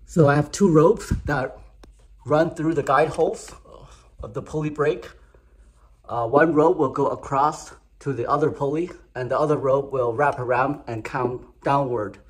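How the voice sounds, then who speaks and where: A man slowly and methodically explaining a garage hoist install, step by step. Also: medium pitch, husky. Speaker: DIY instructor. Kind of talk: step-by-step how-to narration. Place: home garage.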